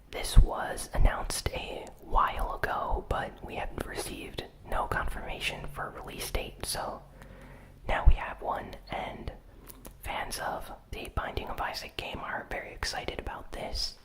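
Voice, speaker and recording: Whispering ASMR gaming news host. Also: poor audio. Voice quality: breathy unvoiced whisper. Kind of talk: ASMR whispered news recap with casual commentary.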